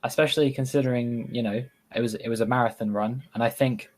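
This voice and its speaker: A man's voice: mid-range voice, steady tone, fast talker, a little mellow. A man hosting a live charity stream, chatting with the runner in an upbeat, praising way. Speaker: marathon host. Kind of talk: casual live-stream chat.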